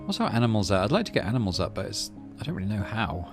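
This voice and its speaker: A man's voice: on the low side, sing-song, rapid-fire, over music. A man casually hosting a game playthrough, reading narration aloud and muttering his thinking as he plays. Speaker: gaming channel host.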